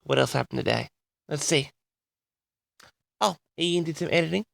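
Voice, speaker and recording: Theatrical man with a heavily creaky voice. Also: fairly high pitch, a bit bright, no room sound, studio quality. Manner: theatrical, addresses listeners as audience, drawn-out words. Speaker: host of a personal audio log. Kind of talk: rambling solo monologue to an audience.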